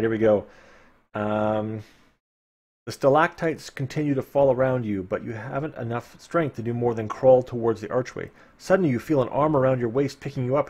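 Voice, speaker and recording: A man's voice: mid-range voice, darkish tone, slightly rough. A man streaming a live read-aloud of a fantasy gamebook, dramatic in the narration and informal in his asides. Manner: playful, informal, dramatic when reading.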